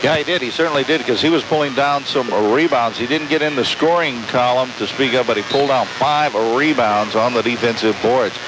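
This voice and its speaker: A man's voice: mid-range voice, a little fast, few pauses. A man calling live basketball play-by-play on the radio in clipped, descriptive phrases. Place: courtside in a large sports dome. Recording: hissy, noisy amateur tape of radio broadcast.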